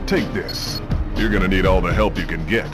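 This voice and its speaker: An actor playing a stern, authoritative spy agency commander in a video game. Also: male voice, sits low, normal speed, over music, lightly acted. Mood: grave. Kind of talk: video game cutscene acting.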